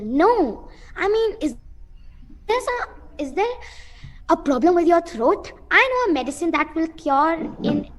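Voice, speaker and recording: A nervous, defiant village mother played by a woman in an amateur radio drama. The high voice moves slowly and haltingly, polite and proper, with faint music behind it. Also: exaggerated voice, poor audio. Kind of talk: amateur radio play acting.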